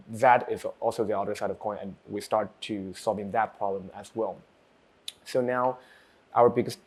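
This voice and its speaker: A man talks through his work as a developer and researcher. His voice sits fairly low, and he speaks with care, often stopping on a soft um while he picks his next words. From China. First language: Chinese. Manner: thoughtful, with frequent pauses and um fillers. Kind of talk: personal project presentation.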